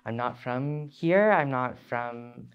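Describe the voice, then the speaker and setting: Slow, smooth-voiced young man. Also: medium pitch, highs cut off. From Ontario, Canada. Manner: halting, many fillers and restarts. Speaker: speaker sharing his story. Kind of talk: personal story talk.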